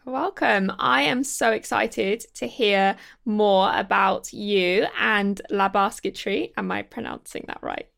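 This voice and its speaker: Gravelly-voiced podcast host woman. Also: mid-range voice, fairly even intonation, measured pace, hardly any pauses, fairly bright tone. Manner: enthusiastic, friendly. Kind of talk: podcast interview.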